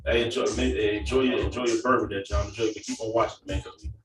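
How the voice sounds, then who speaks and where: A man's voice: on the low side, steady tone, quick pace, distant mic. A man hosting a casual chat in a cigar lounge, laid-back, repeating his words and leaning on fillers. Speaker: host. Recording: roomy echo, off-mic, music behind.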